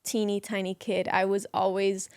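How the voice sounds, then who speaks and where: A young woman from the Netherlands chatting as a guest in an interview, relaxed and talkative with frequent ums. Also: medium pitch, moderate pace, clear, resonant tone, dry recording, studio quality. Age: young adult. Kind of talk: casual interview chat.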